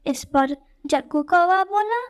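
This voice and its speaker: A woman's voice: very high voice, slow. A woman narrating a scripted children's story as the storyteller.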